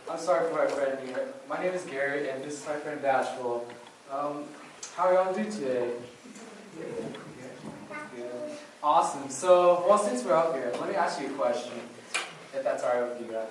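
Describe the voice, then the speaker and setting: Male presenter, informal and halting, medium pitch, heard from a distance in a reverberant hall. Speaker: puppet show presenter at a church. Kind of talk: casual address to an audience, questions and prayer. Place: church hall, recorded from the audience area. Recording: very echoey room, distant mic, faint noise.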